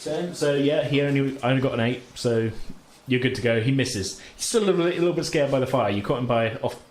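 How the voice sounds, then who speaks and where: A man's voice: medium pitch, fairly quick. A man running a casual tabletop roleplaying game, explaining rules and narrating turns in a hesitant, explanatory way. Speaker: game master. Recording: roomy echo with faint background noise.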